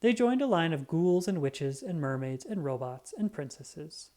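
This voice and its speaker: A woman narrating a children's book in an expressive, scripted read-aloud style, acting out the dialogue. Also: low-pitched, moderate pace.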